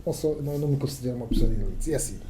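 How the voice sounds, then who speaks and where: A man's voice: mid-range voice, very fast, mellow, a little hiss or hum. A man from Angola whose first language is Kissolongo, speaking Angolan Portuguese as a journalist guest on a podcast, with many fillers and restarts and emphatic turns. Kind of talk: casual podcast interview.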